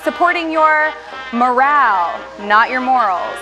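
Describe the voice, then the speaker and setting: A woman's voice: high-pitched, expressive, measured pace, over music, poor audio. A woman voicing an unbothered, cheeky visiting radio host who stays airy while trouble breaks out around her, in a fan radio drama. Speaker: visiting co-host of a rival station.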